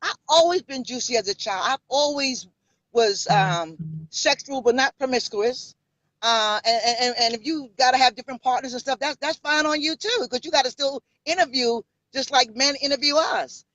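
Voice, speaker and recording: A woman's voice: high-pitched, moderate pace, a bit bright, no echo, lo-fi sound. A woman in her fifties from New York, with a New York accent, telling her story in a bold, sassy way as an interview guest. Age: 50s. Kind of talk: casual interview.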